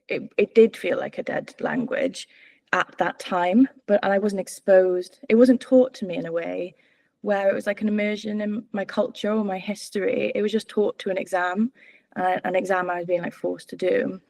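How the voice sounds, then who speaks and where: A woman's voice: medium pitch, gravelly, a little mellow, no room sound. A woman in her twenties from Wales, giving candid, hesitant interview answers full of fillers and restarts. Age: 20s. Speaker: political candidate.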